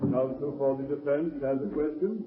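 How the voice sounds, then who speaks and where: An actor playing a stern judge who speaks in a measured, formal way, in a 1930s radio courtroom drama. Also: male voice, on the high side, crowd noise, natural voice. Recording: tinny scratchy 1930s radio recording.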